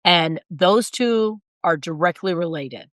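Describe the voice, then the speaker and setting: A woman guest with a raspy, hoarse voice, talking briskly and emphatically in an interview. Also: lowish voice, expressive, a bit bright, no echo, studio quality. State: hoarse, recovering from illness. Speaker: guest, sales consultant and author. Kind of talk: conversational interview.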